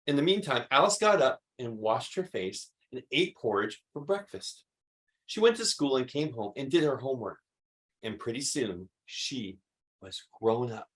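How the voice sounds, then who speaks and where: A man reading a children's story aloud expressively, with emphatic delivery and dramatic pauses. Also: mid-range voice, lively intonation, fairly bright tone. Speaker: read-aloud host.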